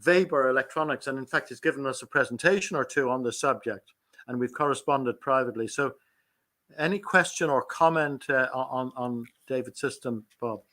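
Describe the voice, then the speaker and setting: A man's voice: mid-range voice, moderate pace, pushed and bright. A man hosting an online meeting, friendly and stammering with repeated words. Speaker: meeting host. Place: lives in Ireland.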